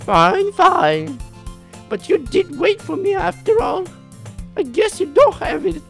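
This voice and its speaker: A man acts out a carefree anime schoolgirl from a visual novel in a very high, squeaky falsetto. She is bubbly, caring and exaggeratedly girlish, speaking over background music. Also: sing-song, takes it slowly, vocal fry, heavily acted. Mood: cheerful, insistent.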